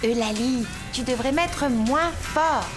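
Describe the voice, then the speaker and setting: An attentive female nurse from an animal cartoon for children. Her high voice is caring and comes over clearly audible music. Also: slow. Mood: soothing. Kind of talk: French children's cartoon dubbing. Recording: music behind the voice.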